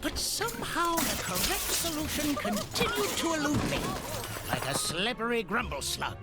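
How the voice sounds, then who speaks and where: An actor playing a small, eccentric elderly male inventor creature, whimsical and erudite, narrating an animated game trailer in a theatrical, wordy way. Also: very high-pitched, moderate pace, full character voice. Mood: musing. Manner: theatrical, wordy, exclamatory scientist. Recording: loud music bed under voice.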